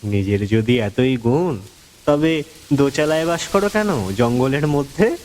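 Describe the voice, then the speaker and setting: Man, medium-pitched, slow measured storytelling, lively melodic range, scripted narration. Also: a little hiss or hum. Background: background music.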